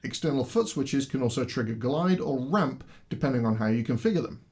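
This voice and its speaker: A man presenting a product demo in scripted explanatory narration, with a clear, step-by-step instructional delivery. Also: medium pitch, lively intonation, fairly quick, a little mellow.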